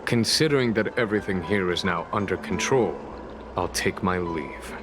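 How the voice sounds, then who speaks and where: An actor playing a calm, wise general in a video game, with measured, formal, unhurried delivery. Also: male voice, sits low, close to an everyday voice. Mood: reflective. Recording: music under the voice.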